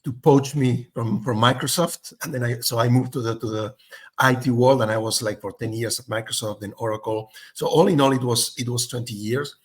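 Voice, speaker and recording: A man's voice: medium pitch, steady tone, brisk. Man, middle-aged, interview guest, thoughtful, frequent restarts. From Spain. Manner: thoughtful, many fillers and restarts. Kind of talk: casual interview chat.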